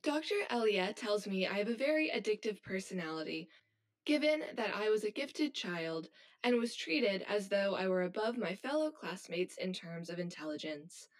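A woman's voice: mid-range voice, expressive, normal speed, lightly acted. A woman acting as an anxious, hollow female robot in an audio drama, speaking in formal, stilted, literal phrases. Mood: detached confession.

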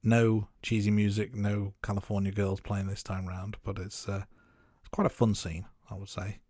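A man co-hosting a podcast, recapping film scenes in a steady, casual way with some fillers. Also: on the low side, normal speed, no echo, clean studio sound. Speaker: podcast co-host. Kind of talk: casual film review chat.

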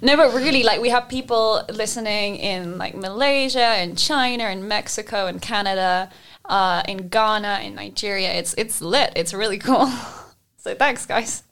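A woman's voice: mid-range voice, moderate pace, few pauses, a bit bright. A woman co-hosting a casual group podcast, chatty and laughing often between fillers. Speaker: podcast co-host.

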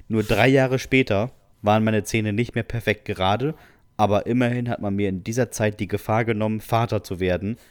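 Low-voiced man, amused storyteller. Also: steady tone, normal speed, long pauses, clean tone, dry recording. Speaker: podcast co-host. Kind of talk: reading out listener stories with comments.

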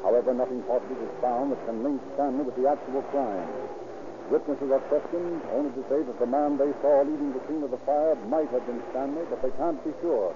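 A formal, earnest radio narrator played by a man with a mid-pitched voice. The scripted 1930s delivery sounds dull, with clear noise over it. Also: brisk, lightly acted. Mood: earnest, measured. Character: earnest, formal male crime show narrator. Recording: muffled, noisy old radio recording.

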